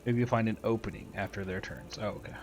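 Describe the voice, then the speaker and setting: A man's voice: fairly low pitch, fast talker, music in the background. A man giving casual, matter-of-fact gameplay commentary and reading tutorial text aloud as he plays. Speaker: gamer doing a playthrough.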